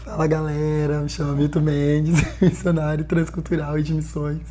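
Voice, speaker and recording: A man in his twenties from Bahia, a missionary guest telling his story in an earnest, lively way with many fillers. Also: fairly high pitch, normal speed, background noise. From Bahia, Brazil. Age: 20s. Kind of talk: casual podcast conversation.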